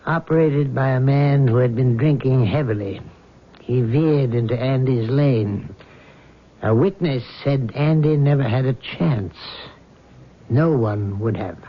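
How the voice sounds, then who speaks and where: An actor playing a calm, reassuring male doctor in a vintage radio drama, with a measured delivery. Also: mid-range voice, a little hiss or hum, lightly acted. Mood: firm. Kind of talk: 1970s radio drama acting. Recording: dull old radio broadcast.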